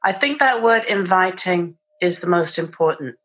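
A woman's voice: on the low side, lively intonation, normal speed, fairly bright tone. A woman with a British accent, a guest in a podcast interview, talking reflectively and searching for her words. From England. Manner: thoughtful, searching, restarts mid-phrase.

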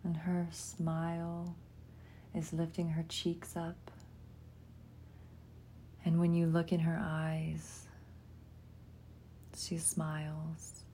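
Female meditation guide, soft and mellow, low register, breathy phrase endings, faint rumble behind. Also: completely flat delivery. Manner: soft, soothing, often trailing into breathiness, with long pauses.

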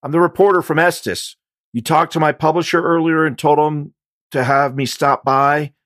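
A man's voice: on the high side, steady tone, moderate pace, fairly bright tone, dry recording, studio quality, voice pushed up, lightly acted. A man voicing a nervous, polite male reporter in audiobook dialogue, calling out anxiously. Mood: alarmed, pleading.

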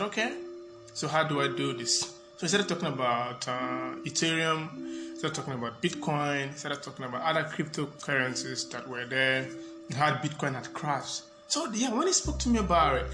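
Young man, Ghanaian accent, casual podcast storytelling, halting with repeated words, mid-pitched, music clearly behind. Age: young adult. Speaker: podcast host. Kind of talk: casual personal storytelling to listeners.

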